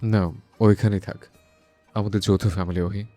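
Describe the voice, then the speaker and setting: A man's voice: lowish voice, fairly even intonation, normal speed, warm tone, dry recording, soft background music, lightly acted. A man playing a brooding, lovestruck young son in an audio love story, earnest and insistent, mixing in English words. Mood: pleading, soothing.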